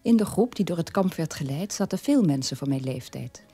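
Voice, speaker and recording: An actress playing a sober middle-aged daughter of a war criminal, telling her past in a measured radio-play monologue with a husky voice. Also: low voice, lively intonation, warm tone, soft background music, exaggerated voice. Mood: sober, reflective.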